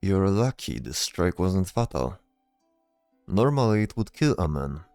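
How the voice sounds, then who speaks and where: A man voicing a calm, considerate leading knight in a fantasy audio drama, speaking in a measured, commanding way. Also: low voice, no room sound, soft background music, exaggerated voice. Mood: calm, reassuring.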